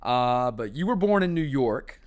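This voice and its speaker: A man's voice: mid-range voice, very animated, a little slow. A man from North Carolina hosting a book podcast, interviewing a guest in her home with friendly affirmations. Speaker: podcast host.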